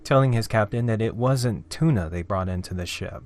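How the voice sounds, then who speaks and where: A man narrating a scripted horror story in a theatrical, deliberate, dramatic way, with drawn-out phrases. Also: lowish voice, darkish tone. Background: background music.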